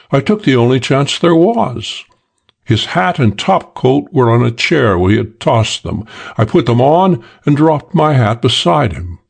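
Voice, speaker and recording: A man voicing a suave, self-assured English gentleman thief telling a wry crime story for an audiobook, with old-fashioned British slang. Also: medium pitch, gravelly, heavily acted.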